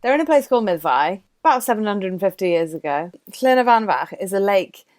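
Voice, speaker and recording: A woman's voice: mid-range voice, lively intonation, a little fast, no echo, studio quality. A woman from Wales, a guest on a comedy podcast, telling an old legend in a chatty, giggly way. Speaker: guest storyteller. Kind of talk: casual comedic podcast chat.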